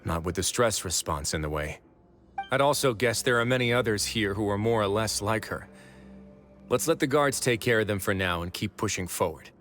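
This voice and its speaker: An actor playing a composed, authoritative duke in a video game, speaking in a measured, commanding way. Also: male voice, lowish voice, expressive, noisy background, close to an everyday voice. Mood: calm, commanding. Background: game music.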